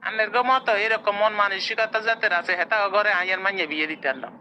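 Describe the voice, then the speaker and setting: Man voicing a sharp, fast-talking protective parent. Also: sits high, slight background noise. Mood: scolding. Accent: Noakhali Bengali. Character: protective, blunt parent of a young bride. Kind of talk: comic cartoon voice acting.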